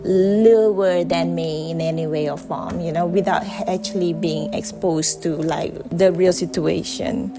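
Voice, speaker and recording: A woman's voice: on the low side, fairly even intonation, measured pace, few pauses, over music. A woman from Malaysia, a native Malay speaker with a Malaysian accent, talking straight to camera in a casual vlog, blunt and profane with heavy emphasis. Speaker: vlogger. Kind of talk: casual direct-to-camera monologue.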